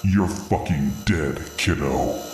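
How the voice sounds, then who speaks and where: Man voicing a very deep, menacing giant monster woman. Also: slow, noisy background. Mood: cold threatening. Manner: threatening, boastful, swearing. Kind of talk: dramatic creepypasta reading. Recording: roomy echo, music behind.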